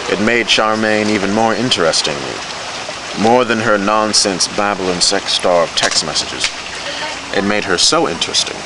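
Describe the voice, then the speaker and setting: A man reading literary fiction aloud in a storytelling style. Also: fairly low pitch. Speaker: reader of a novella. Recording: noisy amateur recording with steady background hum.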